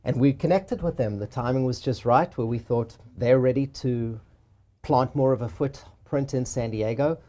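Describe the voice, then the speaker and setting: South African-accented man, mellow tone. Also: medium pitch, normal speed. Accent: South African with a Boston tinge. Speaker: luxury real estate agent. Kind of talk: interview conversation.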